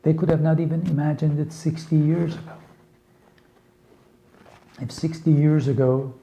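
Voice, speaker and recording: A man whose first language is Farsi, giving a slow, earnest lecture for English learners with many pauses and fillers. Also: fairly high pitch, fairly even intonation, creaky, warm tone, poor audio. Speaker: teacher.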